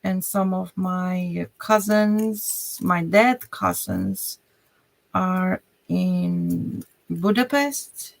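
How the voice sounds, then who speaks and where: A woman with a Hungarian accent talks to her live viewers while she works on crafts. Her voice has a gravelly, creaky edge, and she speaks very slowly. She thinks aloud in halting bits and often trails off. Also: on the low side, very animated. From Romania. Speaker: crafter streaming a journaling session. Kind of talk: casual live-stream chat while crafting.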